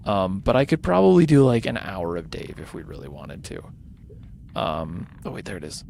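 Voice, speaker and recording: A tired man whose voice is starting to go hoarse, rambling casually and thinking aloud as he hosts a gaming livestream. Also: sits low, animated, normal speed, a little mellow, a little hiss or hum. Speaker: livestreamer hosting a charity gaming stream. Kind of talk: casual unscripted game-stream chat.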